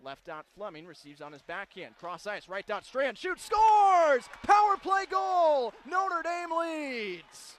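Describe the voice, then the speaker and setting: A man calling hockey on radio in a high, bright, forceful voice. He rattles off quick, clipped calls of every pass and shot and bursts into shouted goal calls, with faint noise in the background. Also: big pitch swings. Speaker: play-by-play announcer.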